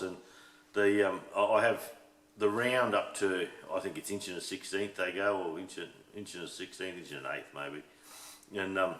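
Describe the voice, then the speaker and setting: A middle-aged Australian man with a slightly hoarse voice, rambling in casual chat about his workshop as the host of his channel, with plenty of ums and restarts. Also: low-pitched, fairly bright tone. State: a bit hoarse. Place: home workshop shed. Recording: echoey shed room.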